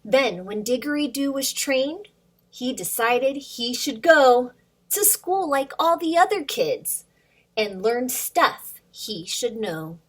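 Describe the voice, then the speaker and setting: Casting: female children's story time teacher, fairly high voice, unhurried, encouraging and plain-spoken. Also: very animated, breathy edge. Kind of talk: informal talk and storybook read-aloud for children.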